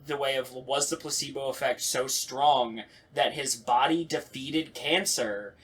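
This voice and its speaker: A man talking casually in a group discussion, halting and slangy as he works through his thoughts. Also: medium pitch, fairly even intonation, a bit bright, husky.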